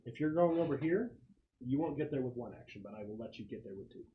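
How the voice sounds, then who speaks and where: A man's voice: medium pitch, lively intonation, fast, narrow, tinny audio. A man casually refereeing a tabletop game as game master, explaining rules and describing the action. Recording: clicky, noisy tabletop room recording.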